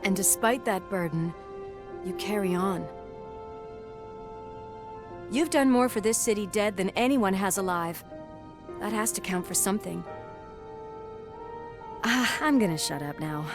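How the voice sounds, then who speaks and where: A caring police partner. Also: female voice, medium pitch, lively intonation, a little slow, pauses often, over music. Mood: earnest. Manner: warm, teasing, earnest. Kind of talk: video game cutscene acting.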